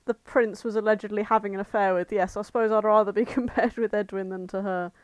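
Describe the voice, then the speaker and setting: A woman's voice: mid-range voice, fairly even intonation, quick pace, no echo. A woman co-hosting a casual chat, bantering with playful protest and swearing. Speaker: podcast co-host.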